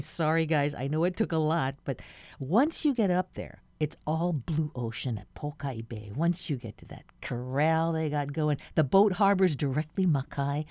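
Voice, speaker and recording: Woman, radio host, very low pitch, lively intonation, dry close sound. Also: moderate pace, no room sound. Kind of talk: public radio talk and field reporting.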